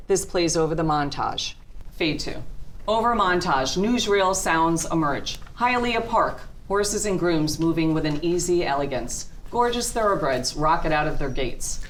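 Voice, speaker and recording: A woman's voice: sits low, expressive, normal speed, a little hiss or hum. A woman reading a script's stage directions as narration at a table read.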